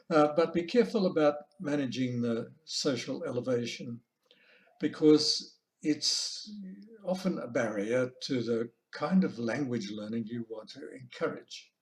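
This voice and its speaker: An Australian man delivers an informal talk as a teacher. He speaks very deliberately and slowly, often halting between phrases, in a mid-range voice. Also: medium pitch. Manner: measured, deliberate, halting with pauses. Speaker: language teacher giving a conference presentation. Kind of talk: informal online lecture. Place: online video call presentation.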